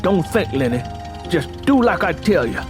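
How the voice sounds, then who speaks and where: A man performs a hot-tempered, hard-drinking country husband with a drawl. The voice sits fairly high and swings widely as he lays down the law in emphatic, folksy speech. Music plays clearly underneath this storybook drama. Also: sing-song, moderate pace. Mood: angry, domineering. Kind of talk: dramatized audiobook character dialogue. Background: music bed.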